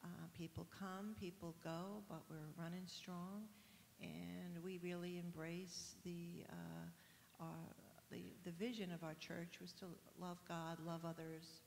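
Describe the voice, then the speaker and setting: Older new lady w a gravelly low voice talking in a big echoey hall, faint hiss. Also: little pitch movement. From New York. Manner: earnest, halting with fillers. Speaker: women's group leader. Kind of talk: church panel discussion. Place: church stage, large hall. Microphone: handheld mic.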